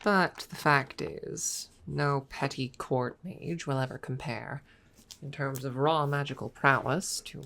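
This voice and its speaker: A woman voicing a proud, possessive female dragon who teases a rival in a haughty, deliberate manner, in an intimate ASMR audio roleplay. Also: extremely low pitch, gravelly, fairly bright tone, a little hiss or hum, heavily acted. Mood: teasing. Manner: haughty, teasing, deliberate with pauses.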